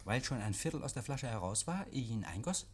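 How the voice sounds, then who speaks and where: An actor in a 1950s German radio play, playing a nervous, evasive private scholar who talks in a fussy, pedantic, over-polite and agitated way. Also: male voice, mid-range voice, animated, fast talker, slight background noise, close to an everyday voice. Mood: uneasy politeness. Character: nervous, evasive male private scholar hosting policemen, defensive and testy. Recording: vintage 1951 radio drama recording.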